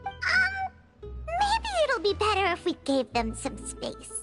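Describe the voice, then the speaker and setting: A woman voicing a cheerful small childlike fairy companion with a squeaky voice in a video game. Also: huge pitch swings, slow pace, full character voice. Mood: hesitant. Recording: music behind the voice.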